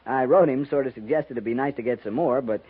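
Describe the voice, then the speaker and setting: An American actor playing an earnest, deferential, by-the-book young army officer in a 1950s radio drama, pedantic and easily flustered. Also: male voice, medium pitch, quick pace, slightly rough, close to an everyday voice. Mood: polite, nervous. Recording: dull 1950s radio recording.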